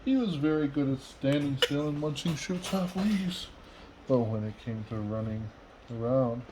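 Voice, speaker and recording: A man reading a children's storybook aloud as a father would, with measured, expressive storytelling. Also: medium pitch, heavy background noise. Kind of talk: storybook read-aloud in rhyme.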